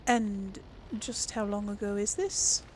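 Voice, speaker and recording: A woman doing casual let's play commentary, musing aloud in a halting way. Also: mid-range voice, fairly even intonation, a little hiss or hum. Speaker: gaming channel host.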